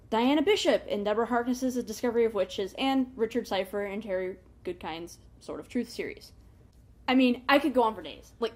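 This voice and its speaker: Female book review host, somewhat high voice, casual and chatty, wry asides, animated emphasis.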